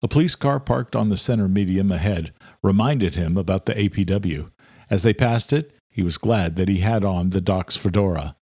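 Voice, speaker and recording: A man's voice: low-pitched, normal speed, a little mellow, husky, no echo, studio quality. A man reading as an audiobook narrator, in a scripted thriller style.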